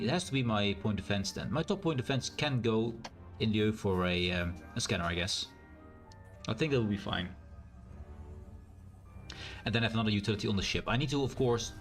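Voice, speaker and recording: A man with a naturally loud voice and a strained, sore throat, thinking aloud in a rambling way as he streams a game. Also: lowish voice. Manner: rambling, thinks aloud with fillers and self-corrections. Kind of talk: casual live-stream gameplay commentary.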